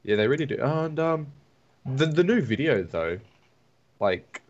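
A man's voice: mid-range voice, lively intonation, a little slow, a little mellow, lo-fi sound. A man co-hosting a casual podcast chat, giving opinions with lots of "like" and "I mean". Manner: opinionated, filler-heavy. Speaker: podcast co-host.

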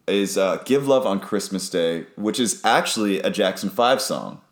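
A young man with a deep voice chatting enthusiastically about music as a podcast co-host. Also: expressive, moderate pace, reverberant. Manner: enthusiastic, sings snippets of songs. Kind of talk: casual chat about music.

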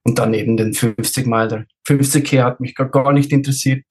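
A man's voice: medium pitch, fairly even intonation, fairly quick, slightly rough. A man with a Swiss German accent chatting casually, searching for words and mixing in bits of English. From Switzerland. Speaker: interview guest, ultrarunner and race director. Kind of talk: casual chat, telling anecdotes.

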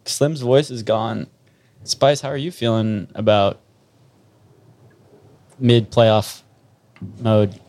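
A man co-hosting a casual podcast in a backyard, relaxed, checking in on others and asking questions. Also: on the low side, slow pace, long pauses. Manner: relaxed, moderating, asks questions. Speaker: podcast co-host.

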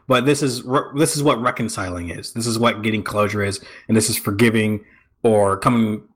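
A man's voice: fairly low pitch, fairly bright tone. A man on a casual discussion panel, explaining reflectively and rephrasing himself as he goes. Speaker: podcast panelist.

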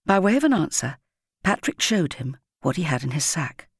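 A woman's voice: deep voice, very animated, normal speed, slightly rough, dry recording, clean studio sound. A woman with a British accent reading a scripted story expressively as an audiobook narrator.

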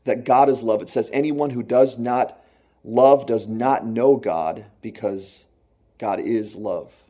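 A man giving a sermon with a mellow, warm voice. He talks to the congregation in an earnest, conversational way and slows right down to stress the key phrases. Now and then he chuckles at his own jokes. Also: medium pitch. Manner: earnest, conversational preaching with slow emphatic phrases. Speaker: pastor.

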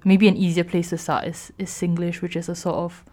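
A woman's voice: fairly low pitch, little pitch movement, a little fast, warm tone. A young woman from Singapore in casual conversation, thoughtful and hesitant, with many fillers.

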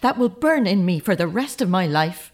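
A woman's voice: on the low side, expressive, normal speed, background noise, close to an everyday voice. Actress as a bitter, grieving middle-aged mother. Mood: anguished, raw. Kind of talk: naturalistic radio drama acting.

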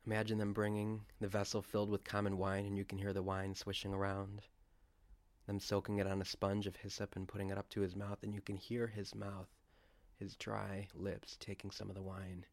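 A man leading a guided scripture meditation, speaking in a deliberate, reverent way with pauses. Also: on the low side, monotone, no echo. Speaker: guided prayer leader.

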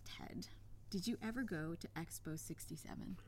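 An actress plays a patient female office worker in a satirical audio drama. Her voice is a little low. She speaks tactfully and carefully, as if coaxing someone along. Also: normal speed, a little mellow, whispered, lightly acted. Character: patient, tactful female office assistant handling older colleague.

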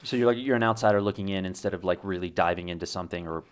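A man with a low voice hosting an interview, talking quickly. He is chatty and enthusiastic, tripping over and restarting his sentences. He is recorded on a desk mic. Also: smooth. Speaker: podcast host, interviewer. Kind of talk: scripted intro, then casual interview.

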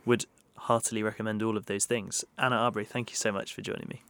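A man's voice: lowish voice, little pitch movement, fast, gravelly, fairly bright tone. A man hosting a podcast interview, wrapping up politely with thanks. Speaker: podcast host.

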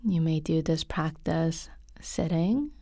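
A woman leads a guided meditation at a very slow pace, softly and calmly. Her tone is mellow and slightly breathy, with long pauses between phrases. The sound is dry and close. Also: sits low, dry recording. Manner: soft, calm, unhurried, with frequent pauses. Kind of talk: guided body scan meditation.

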